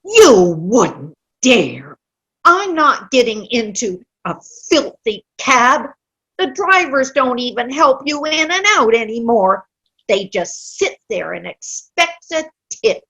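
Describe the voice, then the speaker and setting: Woman acting cranky old lady, high and husky. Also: big pitch swings, a bit bright, heavily acted, rough recording. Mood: scornful. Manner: querulous, slow, dramatic complaining. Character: cranky, complaining old woman Jean, sour and in pain. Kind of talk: play reading. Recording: video call play reading.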